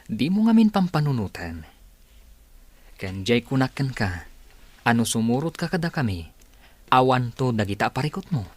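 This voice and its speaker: A man's voice: mid-range voice, sing-song, moderate pace, pauses often, no echo. A man playing an aggrieved, vengeful young recruiter in a radio drama, with an Ilocano accent. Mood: persuasive.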